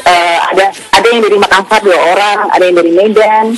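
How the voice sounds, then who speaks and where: A polite young woman from Surabaya answers a radio host's questions, pausing often and filling gaps with soft ums. She speaks at a middle pitch over faint background music in a radio broadcast. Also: steady tone. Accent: Surabaya Javanese. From Surabaya, Indonesia. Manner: polite, hesitant with many fillers. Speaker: girl band singer guest. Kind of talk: casual radio interview.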